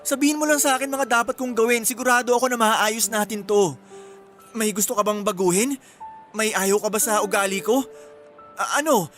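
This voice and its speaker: An actor playing an insecure, pleading young boyfriend in an earnest, emotional radio drama. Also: male voice, sits high, normal speed, a bit bright, faint music behind. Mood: desperate, pleading.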